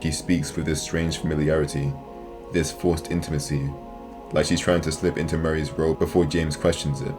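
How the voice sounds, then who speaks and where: A man's voice: a bass voice, level tone, normal speed. A man reading scripted, analytical narration as the host of a video essay. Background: music.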